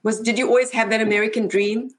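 A woman's voice: fairly high pitch, steady tone, fast. A woman hosting an online interview show, talking upbeat with frequent fillers. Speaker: host. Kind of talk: hosted webinar interview.

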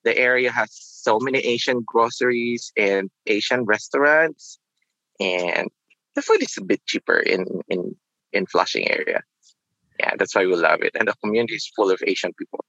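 A man, talking in a reflective, halting way as a nurse being interviewed. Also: fairly low pitch, animated, gravelly, pushed and bright, no echo, muffled recording. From Philippines. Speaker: nurse guest. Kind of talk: casual interview.

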